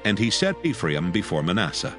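A man narrating scripture from a script in a measured, formal manner. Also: fairly low pitch, sing-song. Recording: music bed under the voice.

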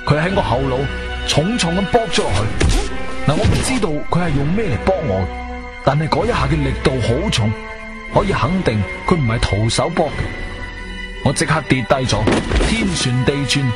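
Animated Cantonese adventurer hero, music behind. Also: male voice, fairly high pitch, moderate pace. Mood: gripping, suspenseful. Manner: expressive, emphatic storytelling. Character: male adventurer hero, shrewd, firm, questioning investigator. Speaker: narrator and lead actor. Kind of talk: dramatic first-person audio drama narration. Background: background music.

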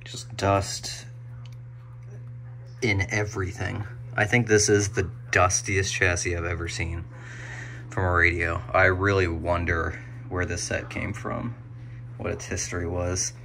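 An American man narrating his hobby restoration work casually and unscripted, musing out loud as he goes. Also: sits low, flat delivery, unhurried, creaky, pauses often. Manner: musing, thinking aloud. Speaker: hobbyist radio restorer presenting a video. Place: small indoor home workshop. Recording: faint room noise.

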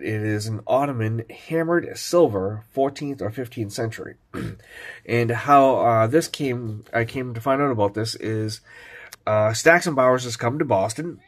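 Brief: man, stuffed-up from a cold, laid-back home vlogger talking through his coins, lots of ums. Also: medium pitch. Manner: relaxed, rambling, frequent fillers. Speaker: coin collecting vlogger. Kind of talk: casual show-and-tell narration.

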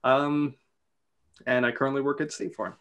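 A man's voice: mid-range voice, steady tone, moderate pace, a bit bright. A man in his twenties introducing himself casually on a video call from a bedroom. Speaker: guest reader, amateur filmmaker. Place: bedroom indoors.

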